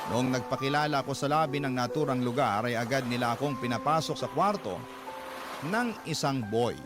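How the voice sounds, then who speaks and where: Male voice reading a first-person drama evenly over background music. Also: mid-range voice. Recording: music bed under the voice.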